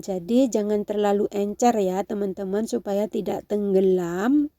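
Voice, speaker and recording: A woman with a nasal, congested voice from a cold gives a casual cooking tutorial, gently instructing her viewers. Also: mid-range voice, dry recording, clean studio sound. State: has a cold. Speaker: home cooking video host.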